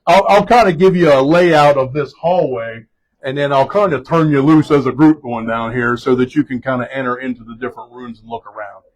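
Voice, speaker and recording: A fire chief leading a group around a building indoors, speaking loudly in a casual, halting way with many fillers and restarts. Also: male voice, mid-range voice, steady tone, poor audio. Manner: loud voice. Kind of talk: casual spoken tour guiding.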